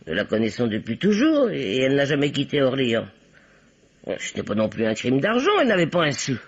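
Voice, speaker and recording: Give this radio-play voice to a bossy older spinster sleuth. She is husky and swoops dramatically in pitch, emphatic and sure of herself. The recording is dull and dated. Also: wildly swooping pitch, a little fast, heavily acted. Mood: assertive. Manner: theatrical, emphatic, authoritative. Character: bossy older spinster playing amateur detective, self-assured. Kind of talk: old French radio play acting.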